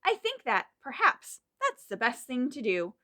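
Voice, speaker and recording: A woman voicing a mild, sensible man from a children's picture book, in a measured, thoughtful way. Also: sits high, huge pitch swings, bright, forceful tone, full character voice. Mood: mild, reflective.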